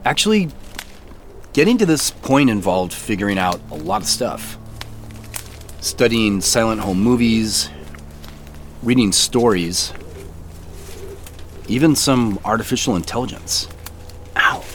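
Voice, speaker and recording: Earnest, puzzled man, swooping pitch. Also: expressive, unhurried, pauses often, slight background noise. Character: earnest, puzzled man searching woods at night for treasure. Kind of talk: naturalistic audio drama dialogue.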